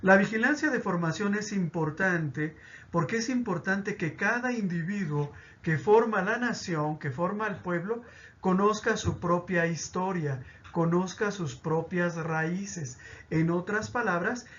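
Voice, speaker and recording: A man's voice: high-pitched, a little slow, fairly bright tone, lo-fi sound. A man teaching a pastoral catechism lesson, explaining with examples and often checking in with "¿sí?".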